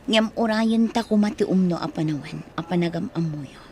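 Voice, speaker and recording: A woman's voice: lowish voice, normal speed, a little mellow, slight background noise, lightly acted. A woman playing a firm but forgiving married mother in a radio drama, with an Ilocano accent. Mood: apologetic, firm.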